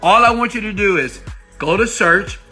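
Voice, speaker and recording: Bright, husky man pitching motivationally. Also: normal speed, soft background music. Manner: emphatic, checks in with rhetorical questions and tags. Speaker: online money-making coach. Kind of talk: motivational tutorial pitch to viewers. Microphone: iPhone microphone.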